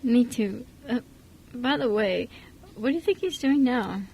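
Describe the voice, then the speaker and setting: A woman's voice: a little high, lively intonation, a little slow, vocal fry, a little mellow, slightly rough, full character voice. A woman playing a shy, curious young boy, a tentative and earnest big brother, in an amateur radio drama. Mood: tender.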